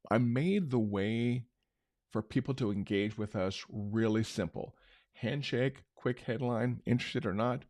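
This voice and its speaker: A middle-aged man hosting a marketing show, talking unscripted in a loose, conversational way with a big booming voice. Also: a little mellow, no echo, studio quality. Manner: unscripted, conversational, many restarts. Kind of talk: casual talk-show recap.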